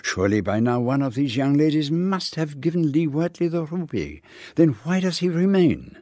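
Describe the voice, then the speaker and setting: A precise, fussy detective. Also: male voice, a little high, big pitch swings, dark tone, no echo, clean studio sound. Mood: probing. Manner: precise, measured. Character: fussy, precise male detective, middle-aged. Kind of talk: first-person narration and dialogue.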